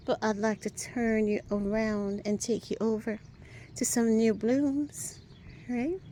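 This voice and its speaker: A woman giving a casual walking tour of her garden outdoors, chatty and upbeat, a little hoarse, often asking "right?" as she films on a handheld phone. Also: mid-range voice, warm tone, a little hiss or hum. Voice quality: a little hoarse, clears throat. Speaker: garden vlogger.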